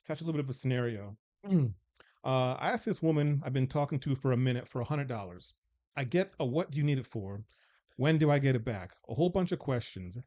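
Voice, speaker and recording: A man's voice: mid-range voice, dark tone, muffled recording. A man in his forties hosting a casual dating panel show, joking and rambling as he reads out a scenario. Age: 40s. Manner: joking, teasing, loose and rambling. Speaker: host.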